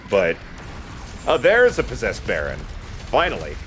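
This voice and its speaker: A man's voice: fairly low pitch, huge pitch swings, a little slow, a bit bright, slight background noise. A man giving casual, chatty, self-deprecating commentary while he plays a video game as host. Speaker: let's play host playing the game.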